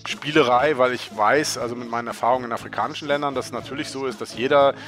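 Fast-talking man over guitar music. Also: mid-range voice, a bit bright. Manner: informal, colloquial, loosely phrased. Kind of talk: casual spoken commentary. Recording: music playing behind the voice.

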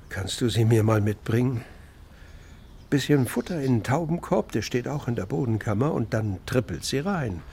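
Man as a gravelly, kindly pigeon keeper. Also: mid-range voice, a little mellow, close to an everyday voice. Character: kindly older pigeon keeper, patient neighbourly uncle. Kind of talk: German children's radio play acting.

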